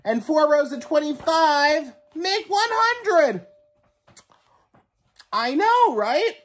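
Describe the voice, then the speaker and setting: A young man in an animated read-aloud counting lesson for small children, full of exaggerated wonder and questions. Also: very high-pitched, drawn-out words. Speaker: teacher reading a children's book aloud. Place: small room at home, indoors.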